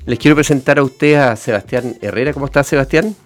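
A man with a Chilean accent hosting a conversational interview, haltingly repeating words and checking in with short tags. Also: mid-range voice, faint music behind. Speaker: interview show host, a doctor.

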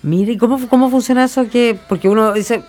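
A woman's voice: a little high, fairly quick, a little mellow. Chilean woman radio host over music. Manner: chatty, colloquial. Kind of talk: conversational radio interview.

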